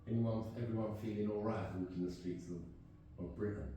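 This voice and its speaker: A man's voice: on the low side, moderate pace, warm tone, recorded from a distance. A man hosting a casual discussion, asking thoughtful, probing questions. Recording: echoey room, off-mic, faint noise.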